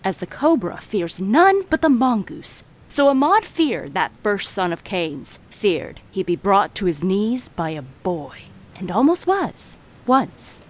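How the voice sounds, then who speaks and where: An actress playing a confiding storyteller in an audio drama, narrating dramatically and straight to the listener. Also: female voice, medium pitch, sing-song, takes it slowly, few pauses. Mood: sly, suspenseful. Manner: dramatic, addresses listener directly. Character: sly, confiding woman storyteller. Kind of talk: theatrical scripted narration.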